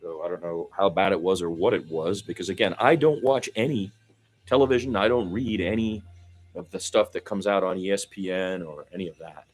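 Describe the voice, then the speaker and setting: A man's voice: sits low, brisk. A man doing amateur radio-style basketball commentary in a conversational, folksy manner. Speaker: play-by-play commentator.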